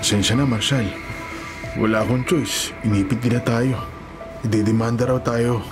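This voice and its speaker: An actor playing a loyal, apologetic working-class Filipino friend in a radio drama, earnest and sympathetic. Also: male voice, mid-range voice, fairly even intonation, normal speed, over music, lightly acted.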